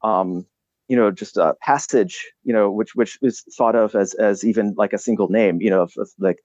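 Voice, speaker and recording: A man speaking as a podcast guest, explaining ideas in a halting, reflective way with many restarts and fillers. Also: on the low side, darkish tone, no room sound, dull audio.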